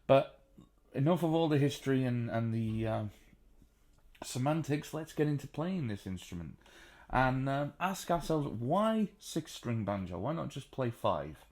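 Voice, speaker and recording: A man with a baritone voice, sitting on a sofa at home and casually explaining how to play the banjo, with plenty of ums and uhs. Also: very animated, darkish tone. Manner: chatty, unhurried, frequent ums and uhs. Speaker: banjo teacher. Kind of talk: relaxed spoken music tutorial.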